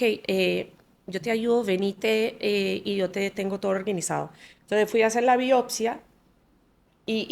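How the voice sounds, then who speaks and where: An American-born woman in her forties, a native English speaker, talks as a podcast guest. Her voice carries a gravelly fry, and her pitch sits in the middle for a woman. She tells her story in an animated way, laughing often and restarting phrases. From United States. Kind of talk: candid conversational storytelling.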